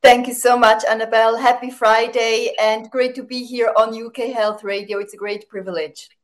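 A woman from Switzerland, native German speaker, talking as a guest in a radio interview, with many "uh" and "um" pauses as she searches for words. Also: fairly high pitch, little pitch movement. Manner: warm-spoken, many fillers, searching for words. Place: remote, not in studio.

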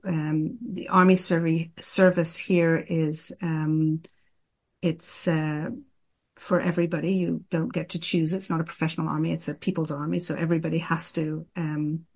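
A middle-aged woman from Ireland, answering interview questions hesitantly, with many pauses and restarts. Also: low-pitched, level tone, a little mellow. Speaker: guest.

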